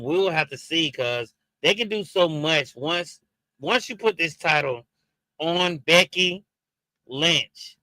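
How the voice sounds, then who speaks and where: Slow, bright-voiced man with Caribbean accent. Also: fairly high pitch, dry recording, poor audio. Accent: Jamaican and Haitian. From Jamaican and Haitian background. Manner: hesitant, many fillers and restarts, talks to viewers. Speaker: wrestling review show host. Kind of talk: casual streamed commentary.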